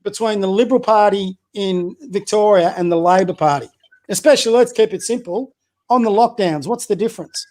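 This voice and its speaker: A man with a high-pitched voice, a community basketball coach giving blunt, opinionated views as a podcast guest. He fires off rhetorical questions and often stumbles and restarts. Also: fairly quick, a little mellow. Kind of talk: casual political chat.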